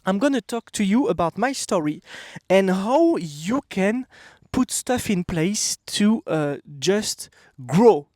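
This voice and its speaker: A man in his thirties with a French accent gives a casual motivational talk straight to camera. His voice sits high for a man and is recorded close and dry. He talks bluntly and emphatically. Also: animated, slow, a little mellow, no room sound. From France. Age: 30s. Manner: blunt, emphatic, repeats "okay?". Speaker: vlogger. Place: outdoors.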